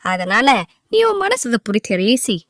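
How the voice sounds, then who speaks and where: A woman playing a wise, firm character who explains a moral in an animated story. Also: a little high, unhurried, no room sound, clean studio sound. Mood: encouraging. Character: wise, firm woman teaching her friend a lesson. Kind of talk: acted dialogue in animated moral tale.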